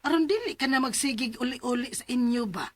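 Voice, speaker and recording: Woman acting kindly, higher-voiced Bisaya aunt. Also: a little fast, slight background noise, natural voice. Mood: reassuring. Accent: Filipino Bisaya. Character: kindly, helpful older aunt of the late wife. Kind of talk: Bisaya radio drama acting.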